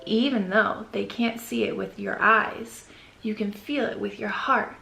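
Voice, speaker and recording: A woman voicing a gentle, reassuring storybook mother in a soothing, patient way. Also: mid-range voice, steady tone, a little slow, creaky, echoey room, faint music behind, exaggerated voice, low-quality recording. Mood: tender.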